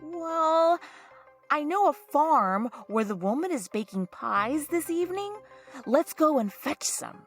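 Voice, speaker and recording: A woman's voice: high voice, expressive, slow, faint music behind, exaggerated voice. A woman voicing a sly, put-upon fox in a storybook tale, coaxing and a little hesitant. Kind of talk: storybook character acting.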